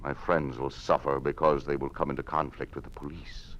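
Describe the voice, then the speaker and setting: An actor playing a weary, principled governor in an old-time radio drama, speaking in earnest, measured oratory. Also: male voice, rumbling low, flat delivery, a bit bright, muffled recording, slight background noise. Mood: grave, defiant. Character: weary, principled male governor resisting a totalitarian regime.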